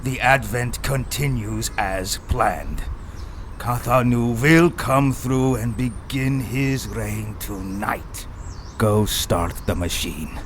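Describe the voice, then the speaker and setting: A man playing a cold, imperious villain in an audio drama, speaking curtly and deliberately as a plot leader. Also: mid-range voice, a little hiss or hum, close to an everyday voice. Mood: cold, commanding.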